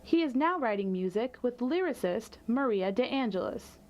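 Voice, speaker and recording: A woman reporter reading a scripted voice-over narration in a clear, even manner. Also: fairly high pitch, moderate pace, slight background noise.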